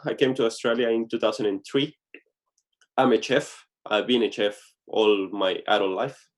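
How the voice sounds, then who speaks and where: Man with a Venezuelan Spanish accent. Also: mid-range voice. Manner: earnest, with frequent "you know" fillers. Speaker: guest. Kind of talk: casual interview answers.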